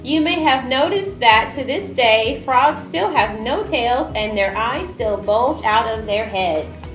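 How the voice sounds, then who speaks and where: A woman's voice: fairly high pitch, lively intonation, slow pace. A woman reading aloud as the narrator and presenter of a stage performance. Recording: echoey room with music over it.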